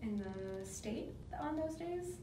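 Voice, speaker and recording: A woman's voice: a little high, slow pace, noisy background. Chatty woman in an echoey room. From Nebraska, US. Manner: chatty, storytelling, animated. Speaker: pageant titleholder. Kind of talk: casual chat. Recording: echoey room, mic at a distance.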